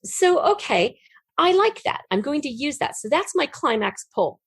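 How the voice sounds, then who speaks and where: A woman's voice: high voice, big pitch swings, moderate pace, no room sound. A woman speaking as an interview guest, explaining things conversationally like a storyteller thinking aloud. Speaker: interview guest, fiction writer.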